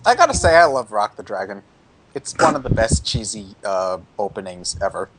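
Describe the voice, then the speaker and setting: A man's voice: lowish voice, big pitch swings, normal speed, background noise. A man chatting casually as a co-host of a fan commentary.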